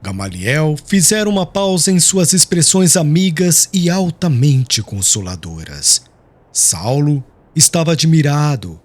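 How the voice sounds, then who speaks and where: A man reading an audiobook as narrator, with a measured storytelling delivery. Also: on the high side, sing-song. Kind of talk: scripted narration.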